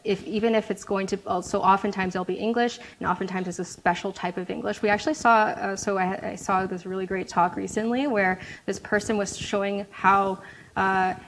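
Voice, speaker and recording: A woman's voice: mid-range voice, fairly even intonation, brisk, slight background noise, rough recording. A woman answering audience questions after a talk, casual and hesitant, with many fillers and restarts.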